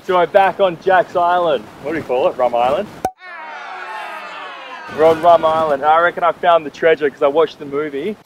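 A man's voice: on the high side, moderate pace, dull audio. A young Australian man joking casually to camera as a sailing vlogger, outdoors on an island and a sailboat. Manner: joking, laid-back banter.

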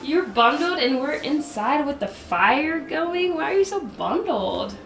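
Lively young American woman in a roomy space. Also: sits high, unhurried, slight background noise. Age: young adult. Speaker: vlogger. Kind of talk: casual chat. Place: living room indoors. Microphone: camera a short way off.

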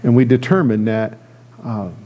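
A middle-aged American man giving a sermon as a pastor, speaking earnestly and haltingly, often repeating phrases. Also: mid-range voice, expressive, lo-fi sound. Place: church sanctuary, indoors at a lectern.

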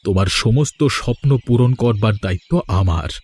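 A man's voice: lowish voice, a little fast, a little mellow, husky. A man voicing a proud, hard-working village husband in a cartoon, with an emphatic, declamatory delivery. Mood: reassuring. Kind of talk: cartoon voice acting.

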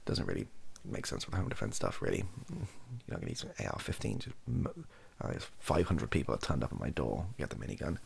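A British man around thirty giving improvised, softly spoken comedic commentary in a rambling, dryly humorous way, often interrupting himself. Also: a bass voice, wildly swooping pitch, fast, gravelly, slightly rough. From Wales, UK. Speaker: comedy ASMR video creator. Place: small cluttered bedroom or home office, indoors.

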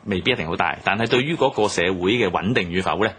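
A man's voice: deep voice, lively intonation, quick pace, fairly bright tone, husky. A man with a Hong Kong Cantonese accent, giving analytical explanations in a radio history discussion. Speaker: commentator on history radio programme.

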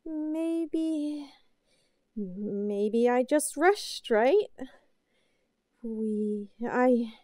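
Casting: actress as sweetly unhinged yandere girlfriend for audio roleplay; mellow, unhurried, cooing, possessive. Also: a little high, lively intonation, no echo, studio quality. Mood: obsessed, wounded. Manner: cooing, halting, breathy asides. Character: obsessive, possessive yandere young woman, sweetly unhinged lover. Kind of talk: roleplay audio drama monologue.

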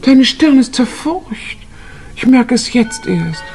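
An affected society fiancée in a vintage fifties radio drama. She speaks high and with sweeping intonation, gushing theatrically, with music around her. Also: very animated, takes it slowly, heavily acted. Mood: gushing sympathy. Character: gushing, melodramatic, self-interested society fiancée. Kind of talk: 1950s German radio play acting.

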